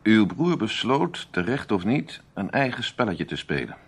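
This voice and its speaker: A grave, authoritative major, with a low voice that swings expressively. He is measured and emphatic, with a husky edge, as in a radio thriller. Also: very animated, exaggerated voice. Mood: grave, persuasive. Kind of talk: Dutch radio play acting.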